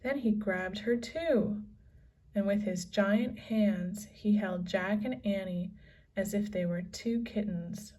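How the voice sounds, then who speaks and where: A woman's voice: medium pitch, slow, mellow, distant mic. A woman narrating a children's adventure story as a scripted read-aloud. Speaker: storybook reader.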